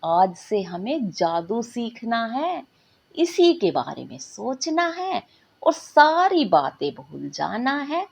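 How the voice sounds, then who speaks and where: Woman voicing a dreamy, bossy storybook girl, high and husky. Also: sing-song, takes it slowly, a little mellow, full character voice. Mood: eager. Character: dreamy, bossy young girl of a magical world. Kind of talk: bedtime storybook character voice.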